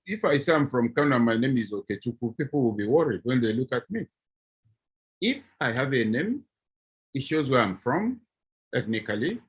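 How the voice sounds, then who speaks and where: A middle-aged man from southeast Nigeria with a Nigerian accent, a professor speaking on a video-call panel, animated and acting out little scenes as he argues. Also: mid-range voice, breathy edge.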